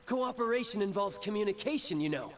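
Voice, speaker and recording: A man's voice: sits high, expressive, a little fast, music in the background. A man playing a breezy, slyly friendly young male villain in a video game, playful and smug. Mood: annoyed. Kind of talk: lively game voice acting.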